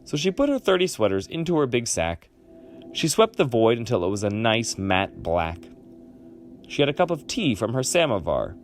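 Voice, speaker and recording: A man reads a children's story aloud in a medium-pitched voice. His delivery is hugely expressive, sweeping up and down in pitch, with dramatic pauses between phrases. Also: background noise. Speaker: children's book reader.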